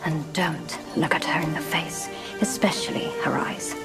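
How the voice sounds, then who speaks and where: A cautioning young spirit daughter with a slightly low voice. She warns in a careful hush, game-drama style, under loud music. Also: steady tone, normal speed. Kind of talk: dramatic fantasy game acting.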